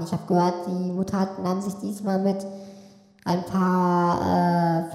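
A woman's voice: lowish voice, dark tone. A woman playing a magic mirror from another dimension in an amateur audio drama, rambling and hesitant, with heavy reverb on the voice. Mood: explaining. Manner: hesitant, rambling delivery between solemn pronouncements. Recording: echoey long reverb, music behind.

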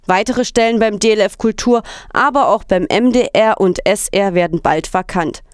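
Clear-voiced woman reading news formally. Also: medium pitch, normal speed, dry recording, clean studio sound. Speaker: reader of quoted articles and statements.

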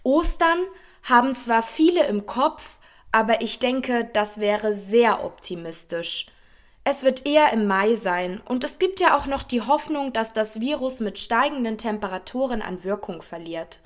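A woman's voice: a little high, lively intonation, a little slow. An actress voicing a female social media poster in a radio play collage, reading in a matter-of-fact manner. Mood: measured.

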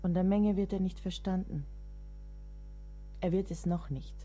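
A woman's voice: on the low side, a little slow, warm tone, faint music behind. An actress in a radio play, playing a devoted but exasperated middle-aged woman writer with a motherly, wry, dramatic manner. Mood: tender, admiring.

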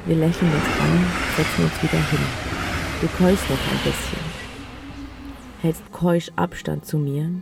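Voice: mid-range voice, fairly even intonation, a little slow, vocal fry. A narrator reading a literary first-person audio play in an intimate, matter-of-fact way. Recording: music behind the voice. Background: music.

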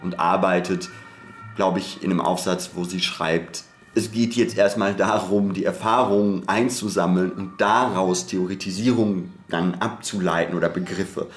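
Podcast co-host with a low voice, reflective and academic, thinking aloud and rephrasing mid-sentence. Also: fairly even intonation, moderate pace, faint music behind. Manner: discursive, hesitant, self-interrupting academic talk. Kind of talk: reflective conversational podcast discussion.

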